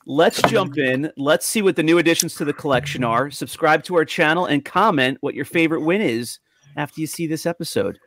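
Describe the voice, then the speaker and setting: A man's voice: on the high side, brisk, a bit bright, dry recording. A man hosting a casual group chat on a video call, with an upbeat announcer-like delivery. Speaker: podcast host. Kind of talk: lively casual group chat about toys. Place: home room on a video call.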